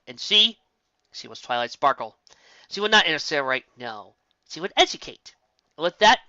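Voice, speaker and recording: A woman's voice: low voice, pushed and bright, dry recording, muffled recording. A woman reading a fan story aloud with dramatic narration. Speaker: story reader.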